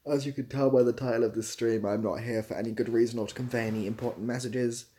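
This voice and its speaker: A man's voice: medium pitch, fairly even intonation, fast, warm tone. A young man hosting a casual livestream, reading out comments and giving dry, self-deprecating, rambling answers. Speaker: livestream host.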